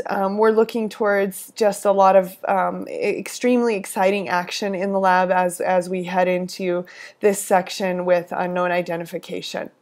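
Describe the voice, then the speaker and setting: A hoarse woman coordinating a lab course, briefing teaching assistants in a friendly, instructive way with frequent fillers and restarts. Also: on the low side, darkish tone. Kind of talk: recorded weekly instructional briefing.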